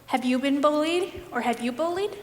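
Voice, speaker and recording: Woman acting an earnest juror, very high voice. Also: expressive, moderate pace. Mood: serious. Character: earnest, challenging female juror. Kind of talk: live stage play acting. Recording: hall reverb, faint noise.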